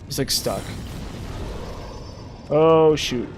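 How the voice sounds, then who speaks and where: Male streamer, 30s, a touch high-pitched, rambling live chat with viewers, soft game music behind. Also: very slow. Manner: chatty, rambling, talks straight to viewers. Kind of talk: casual live-stream chat while playing.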